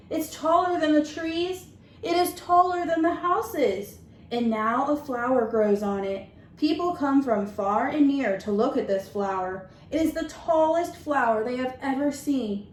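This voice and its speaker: A woman's voice: high voice, a little mellow. A woman teacher reading a children's picture book aloud, speaking simply and instructively to young listeners. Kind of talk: children's story read-aloud with questions to listeners. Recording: echoey room with faint background noise.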